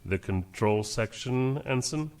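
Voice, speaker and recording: An actor playing an urgent, worried starship captain in a science fiction audio drama. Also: male voice, mid-range voice, expressive, slow, distant mic, noisy background. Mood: tense, businesslike.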